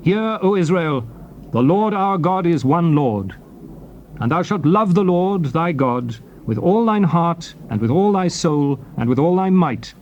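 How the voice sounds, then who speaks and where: Man, fairly high voice, dramatized Bible reading, solemn, declamatory, deliberate phrasing, faintly noisy cassette recording. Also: lively intonation, a little mellow, muffled recording. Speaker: scripture reader.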